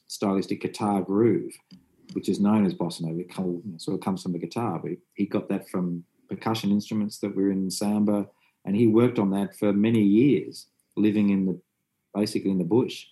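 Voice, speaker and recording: A man's voice: lowish voice, fairly even intonation, moderate pace, mellow, rough recording. A man who plays in a band, describing the music in an interview in an eager, rambling way, full of "you know.". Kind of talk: conversational interview.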